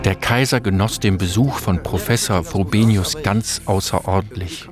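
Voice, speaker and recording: An actor playing a loyal, discreet aide to a deposed emperor, narrating in a measured, formal, careful way in a radio drama. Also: male voice, sits low, over music, lightly acted. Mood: formal, composed.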